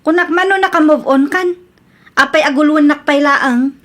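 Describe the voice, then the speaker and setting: A woman's voice: high-pitched, moderate pace, a bit bright. A woman playing an earnest, easily exasperated young engaged woman in an Ilocano Filipino radio comedy drama. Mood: firm, irritated.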